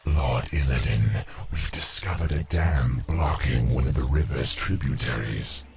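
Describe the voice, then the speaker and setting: Monstrous male Naga minion, abyssal low pitch, creaky, slow, dutiful, formal game dialogue. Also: darkish tone, slight background noise, full character voice. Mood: ominous, deferential. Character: monstrous Naga servant, dutiful scout reporting to master. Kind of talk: video game cutscene voice acting.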